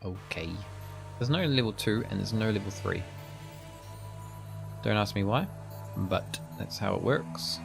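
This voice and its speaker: A young man chats through a video game as he plays, rambling and thinking aloud. His voice is low and gravelly. Game music plays clearly in the background. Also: a little slow, pauses often. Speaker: streamer playing a game. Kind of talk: casual let's-play commentary.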